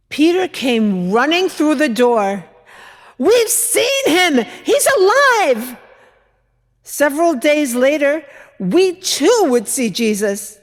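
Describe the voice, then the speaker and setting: Woman acting as earnest bible-times widow telling her story, slow n expressive w pauses, big echoey church. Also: high-pitched, heavily acted. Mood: hopeful, exultant. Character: Peter's earnest mother-in-law, a biblical widow recalling miracles. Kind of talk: dramatic monologue reading in church. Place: large church, indoors. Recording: echoey church hall, long reverb.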